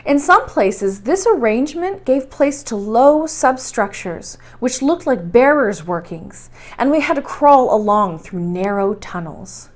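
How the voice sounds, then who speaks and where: A woman narrates a story from the page as a storyteller. Her voice sits a little high and moves expressively up and down through the sentences. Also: expressive, a little slow, few pauses. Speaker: storyteller reading aloud. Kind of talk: expressive scripted audiobook narration.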